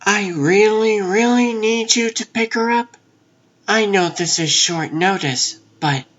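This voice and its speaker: A performer voices a pushy, wry female newspaper reporter for a comic dub. The delivery is insistent yet unhurried, sitting at a middle pitch. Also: fairly bright tone, lo-fi sound. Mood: pleading.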